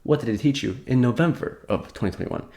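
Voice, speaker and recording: A man's voice: medium pitch, animated, fast talker, creaky, dark tone. A young man giving a casual market update while slightly sick, rambling with many fillers and restarts. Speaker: crypto market commentator.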